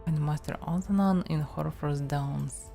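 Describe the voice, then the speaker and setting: Russian-accented woman streamer, talks slowly and softly to herself, faint game music underneath. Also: low-pitched, gravelly. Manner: soft-spoken, musing to herself. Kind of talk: casual gameplay commentary.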